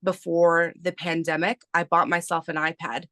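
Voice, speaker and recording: Woman in her thirties, from Canada, native Spanish speaker; low-ish voice, unhurried, lots of ums, dry close sound. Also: flat delivery, fairly bright tone, no echo. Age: 30s. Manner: slow, hesitant, many fillers. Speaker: guest, artist and publisher. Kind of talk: casual interview talk.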